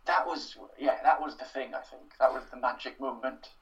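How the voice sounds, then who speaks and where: A man's voice: medium pitch, fairly even intonation, normal speed, creaky, a bit bright, distant mic, muffled recording. A young Welsh man answering interview questions in a thoughtful, self-deprecating way, with plenty of fillers and restarts. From Wales. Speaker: interview guest, writer. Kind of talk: casual interview.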